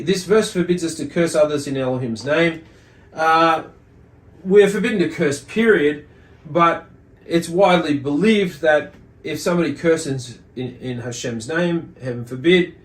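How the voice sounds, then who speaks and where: A man giving an explanatory religious lesson as a teacher, speaking in a measured way with deliberate emphasis and repetition. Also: mid-range voice. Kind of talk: explanatory religious lesson, reading and commenting on a text. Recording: echoey, roomy sound.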